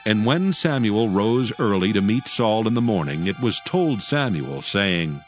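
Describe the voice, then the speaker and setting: A man's voice: fairly low pitch, big pitch swings, a little slow, soft background music. A man narrating a dramatized scripture reading in a scripted storytelling style.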